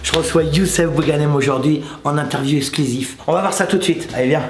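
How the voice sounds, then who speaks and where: A man hosting a casual interview, effusive and warm in his thanks, often restarting his sentences. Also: on the high side, fast talker, roomy sound, faint music behind. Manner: effusive, repeats thanks and compliments, many restarts. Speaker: interviewer and channel host.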